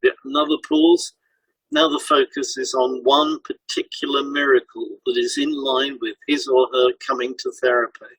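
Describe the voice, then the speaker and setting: A man's voice: mid-range voice, expressive, moderate pace, vocal fry, a bit bright, husky, dry recording, low-quality recording. A man co-hosting a podcast as a hypnotherapist, reading scripted explanations in an instructional way.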